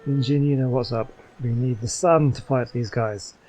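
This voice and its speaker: A man's voice: medium pitch, steady tone, normal speed, darkish tone, a little hiss or hum, low-quality recording. A man giving casual, exclamatory gameplay commentary as a chatty, self-amused streamer. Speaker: gaming streamer. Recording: game music behind.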